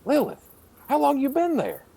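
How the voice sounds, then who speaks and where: A man's voice: extremely high pitch, wildly swooping pitch, normal speed, gravelly, mellow, pitched above the performer's own voice, heavily acted. A man playing a pompous, melodramatic hero with a lightsaber in a comic radio play, declaiming in mock-heroic style. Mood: noble.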